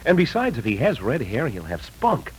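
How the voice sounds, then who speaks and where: An actor playing a boyish, anxious, affectionate young husband, earnest and hesitant in 1940s radio drama style. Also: male voice, mid-range voice, wildly swooping pitch, normal speed, slight background noise, rough recording. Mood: eager. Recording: vintage radio recording with music.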